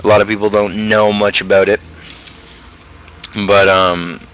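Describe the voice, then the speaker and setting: A man's voice: on the low side, little pitch movement, a little slow, fairly bright tone, clear, resonant tone, rough recording. A young adult man chatting casually on a livestream, rambling and opinionated as he rants. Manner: opinionated, ranting. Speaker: livestream host.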